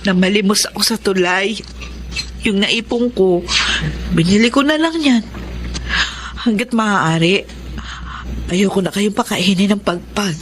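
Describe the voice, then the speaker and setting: A woman's voice: mid-range voice, slight background noise, natural voice. An actress playing a poor, protective widowed mother in a Filipino melodrama, deferential and earnest, with a Filipino accent. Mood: tender. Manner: deferential, halting, earnest pleading. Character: poor scarred widowed mother, humble, pleading, protective of children. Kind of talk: Filipino radio-style melodrama acting.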